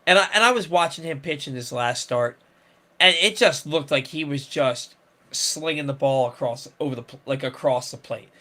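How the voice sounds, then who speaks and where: A man's voice: a little high, normal speed, bright, forceful tone. Rambling man hosting sports talk. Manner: rambling, many restarts and fillers. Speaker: sports podcast host.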